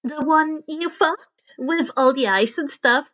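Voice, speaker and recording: A woman's voice: high voice, slow, a bit bright, lo-fi sound. A woman voicing an eccentric, curious teenage girl inventor with a perky, casual, teasing manner in a story reading. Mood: playful.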